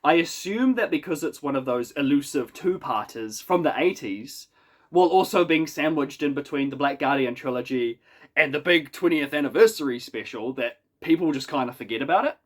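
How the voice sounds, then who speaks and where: A man's voice: fairly high pitch, lively intonation, moderate pace. A man with a New Zealand accent and a head cold, giving a chatty, wry spoken review in a casual, self-deprecating way from his small apartment. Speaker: YouTube reviewer.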